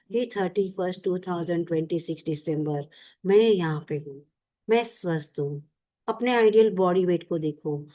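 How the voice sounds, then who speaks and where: Woman in her 50s doing a guided visualization, coaxing and chatty, on the bits. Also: fairly low pitch. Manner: warm, coaxing, repeats "yaar" often. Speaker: self-help teacher.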